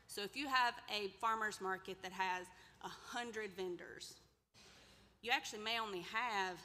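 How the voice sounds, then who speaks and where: Woman, 30s, extension presenter; chatty lecture, crisp bright tone, reverberant hall. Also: mid-range voice. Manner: conversational, asks the audience questions. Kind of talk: workshop lecture with slides. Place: large lecture room indoors. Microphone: podium mic, far camera.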